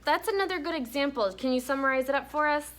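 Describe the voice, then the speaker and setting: A woman teacher reading a children's story aloud in an expressive way, explaining and putting questions to her students. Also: sits high, fast talker.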